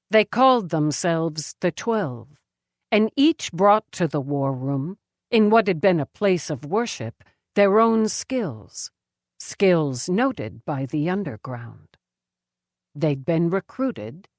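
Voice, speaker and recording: Woman reading a serious novel, real slow n dramatic, kinda low voice. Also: dry recording, studio quality. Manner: measured, grave, dramatic delivery. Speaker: audiobook narrator. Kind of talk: scripted narration of a novel.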